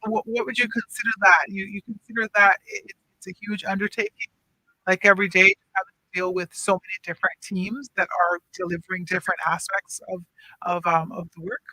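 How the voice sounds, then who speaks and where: A woman hosting a casual radio interview, chatty and quick to laugh, often restarting her sentences. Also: mid-range voice, fairly even intonation, sharp tone, no echo, low-quality recording. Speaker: radio talk show host. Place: radio studio.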